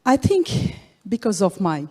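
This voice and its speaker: A woman from Bangladesh, speaking earnestly and haltingly as an award recipient in an onstage interview. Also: mid-range voice, big pitch swings, warm tone, breathy edge. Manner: earnest, halting, repeats phrases. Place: large event hall. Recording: long hall reverb.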